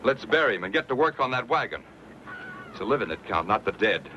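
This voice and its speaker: A man's voice: medium pitch, very animated, quick pace, pushed and bright. An actor playing a hard, practical, impatient wagon-train man with an American accent, speaking bluntly in an old Western. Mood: impatient, grim. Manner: blunt, pragmatic. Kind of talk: Western film acting. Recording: muffled, noisy old film soundtrack.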